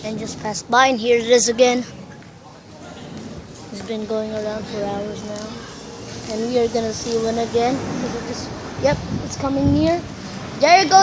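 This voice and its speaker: Rambling Filipino-accented woman, noisy outdoor clip. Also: a little high. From Philippines. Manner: rambling, excitable, thinks out loud. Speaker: vlogger. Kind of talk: casual vlog commentary.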